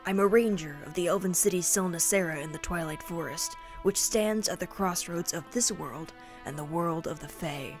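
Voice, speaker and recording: Woman playing a low, brooding elven ranger. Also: moderate pace, hardly any pauses, music in the background, natural voice. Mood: self-important. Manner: stern, self-serious, curt. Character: brooding, distrustful elven ranger, rebel leader. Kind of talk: fantasy audio drama acting.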